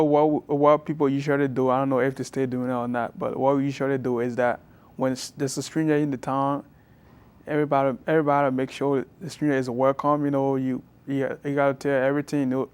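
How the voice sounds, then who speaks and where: A young man from Liberia with a Liberian accent, answering interview questions softly and haltingly as a college student guest. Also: mid-range voice, level tone, smooth. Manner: soft-spoken, halting, with many restarts.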